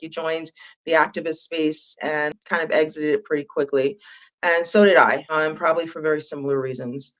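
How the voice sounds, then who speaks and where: Loud, candid woman panelist. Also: low-pitched, poor audio. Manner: loud, bold speaking voice; tearful and candid. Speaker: panelist, animal advocate. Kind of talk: emotional panel talk. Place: on the floor at an animal rescue. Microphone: phone clipped to a dog crate.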